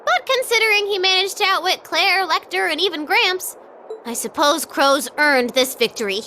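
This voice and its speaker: Very high, perky young girl agent. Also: expressive, normal speed, pushed and bright, a little hiss or hum, full character voice. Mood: breezy, rueful. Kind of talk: anime-style game voice acting.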